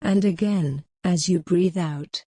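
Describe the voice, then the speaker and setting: A woman narrating a guided meditation in a measured, instructional manner, pausing gently between phrases. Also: lowish voice, no echo, clean studio sound.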